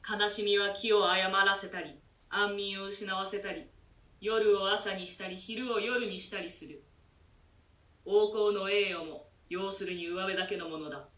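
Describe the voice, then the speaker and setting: A man's voice: high-pitched, fairly even intonation, sharp tone, echoey room, recorded from a distance, poor audio. A man playing a polite, deferential jailer who speaks in formal, servile language. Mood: reflective. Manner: formal servile speech, measured.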